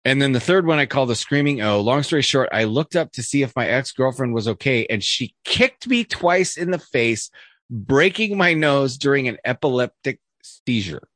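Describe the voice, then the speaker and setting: A man in a casual podcast chat, reading a list aloud and breaking off into jokey asides. His voice sits at an ordinary middle pitch, full of "uh"s and restarts. The sound is dry and close, with no room around it. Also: sing-song, moderate pace, fairly bright tone, no echo. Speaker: podcast co-host.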